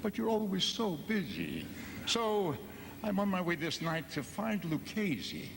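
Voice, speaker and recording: A man's voice: sits high, unhurried, a little hiss or hum, exaggerated voice. Vengeful, scheming nobleman, wildly swooping voice. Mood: falsely courteous. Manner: theatrical, brooding, slyly flattering. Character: bitter, scheming vengeful Venetian nobleman feigning friendship. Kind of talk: audio drama acting. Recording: loud music under the voice.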